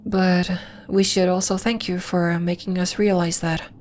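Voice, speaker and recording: Celestial female lunarian healer played by a woman, ASMR audio drama. Slow, measured, soothing, slightly superior; noticeable ambient noise behind the voice. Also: lowish voice, little pitch movement, a little mellow, lightly acted. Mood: gentle, earnest. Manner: soothing, measured, formal, faintly condescending. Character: gentle, lofty female lunarian healer, celestial moon being. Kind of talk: ASMR roleplay, scripted, addressed to the listener.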